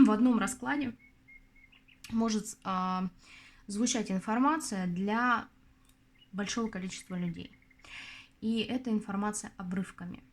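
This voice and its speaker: Husky-voiced woman giving friendly advice. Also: mid-range voice. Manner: chatty, confiding, addresses viewers as girls. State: voice going hoarse. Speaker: tarot reader hosting her channel. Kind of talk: casual advice talk to viewers.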